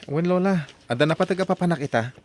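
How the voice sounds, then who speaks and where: A man's voice: on the high side, fast talker, close to an everyday voice. A man playing a kind, dutiful grown grandson who speaks respectfully and earnestly in an Ilocano drama. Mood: concerned. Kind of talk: Ilocano radio-style drama acting.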